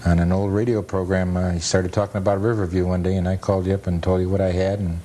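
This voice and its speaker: A man's voice: sits low, flat delivery, fast, warm tone. An American man, a guest on a television interview, explaining things in a plain, matter-of-fact, conversational way. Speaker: interview guest, photo historian and author. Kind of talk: casual explanatory talk. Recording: noisy 1980s cable TV recording.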